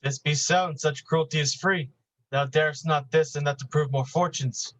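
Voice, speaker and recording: A man's voice: fairly high pitch, steady tone, normal speed, a bit bright, dry recording, natural voice. Man acting bitter, weary Shakespearean avenger, close-miked. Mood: weary, defiant. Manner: grave, declamatory. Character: weary, bitter man defying his hated enemy. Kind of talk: Shakespearean staged reading.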